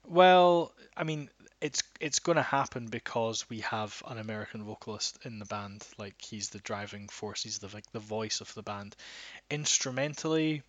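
A man's voice: fairly low pitch, normal speed, hardly any pauses, dry recording. A man, a metal band guitarist, chatting casually as a podcast guest, rambling with frequent restarts and fillers. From Scotland.

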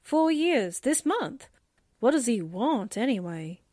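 Actress as wisecracking gal secretary, blunt and suspicious, high and lively pitched, close dry sound, vintage radio play. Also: female voice, takes it slowly, no echo, full character voice. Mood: disdainful. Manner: blunt, slangy. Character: sassy, suspicious, wisecracking female secretary sidekick. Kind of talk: 1940s radio drama acting.

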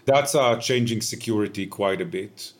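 A man in his fifties with an Israeli accent, answering interview questions in a measured, explanatory way with frequent "uh" pauses. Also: fairly low pitch. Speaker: tech company founder, guest.

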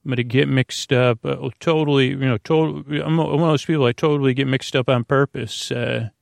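An American man with a creaky voice, hosting a bedtime podcast in a lulling, rambling, hesitant way, full of filler words and tangents. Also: medium pitch, fairly even intonation, brisk, dry recording. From United States. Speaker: podcast host.

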